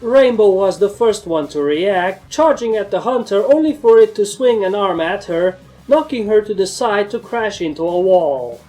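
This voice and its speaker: A woman's voice: mid-range voice, expressive, moderate pace, few pauses, mellow, rough recording. A woman narrator reading a scripted first-person fantasy story.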